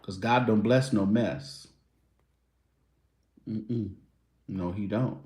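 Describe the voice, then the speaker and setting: Slow, echoey man chatting casually. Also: on the low side, steady tone, darkish tone. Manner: loose, chatty, talking to viewers. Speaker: show host. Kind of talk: casual livestream chat.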